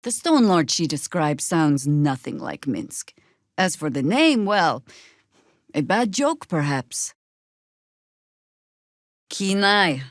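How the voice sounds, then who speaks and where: A seasoned druid warrior woman from a fantasy game. Her voice sits slightly low, recorded very dry. She is sardonic and world-weary but resolute, speaking in measured, commanding phrases. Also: sing-song, no echo, exaggerated voice. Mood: wry, measured. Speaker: video game voice actress. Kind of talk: scripted fantasy game dialogue with shouted spell incantations.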